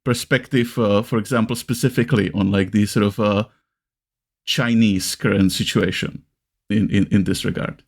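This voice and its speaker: A man with a Czech accent and a low, gravelly voice full of vocal fry. He co-hosts a casual discussion podcast, feeling his way through long, halting sentences with many restarts. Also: steady tone. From Czech Republic. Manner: halting, rambling, frequent self-restarts. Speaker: podcast co-host.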